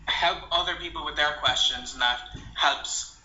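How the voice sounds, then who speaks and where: A young man talks through an app in a loose, rambling way, filling pauses with ums and uhs. His voice is bright and cutting, and the recording has a roomy echo and a faint hiss behind him. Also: on the high side. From Ireland. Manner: relaxed, meandering, with frequent ums and uhs. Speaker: language blogger. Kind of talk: casual app walkthrough. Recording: roomy, slightly dull home recording.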